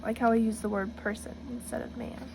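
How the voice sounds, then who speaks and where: Higher-voiced woman reading to children. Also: fairly even intonation, quick pace, creaky, background noise. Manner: encouraging, teacherly, addressing kids directly. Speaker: storybook reader for children, student teacher. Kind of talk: children's read-aloud with questions to the listeners.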